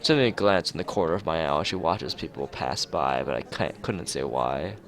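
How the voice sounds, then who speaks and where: A man reading a visual novel aloud in an expressive narrating style, as a let's-player. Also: very deep voice, fairly quick. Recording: music behind the voice. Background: clear background music.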